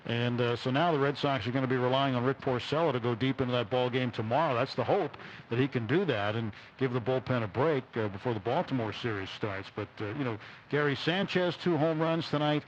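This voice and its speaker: A man's voice: medium pitch, a little fast. A man giving unscripted postgame analysis as a baseball radio broadcaster. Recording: dull, faintly noisy radio broadcast.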